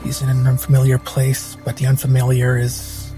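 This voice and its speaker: A man improvising narration of his character in a tabletop game, in halting, measured phrases. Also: medium pitch, completely flat delivery. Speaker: tabletop roleplay player. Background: background music.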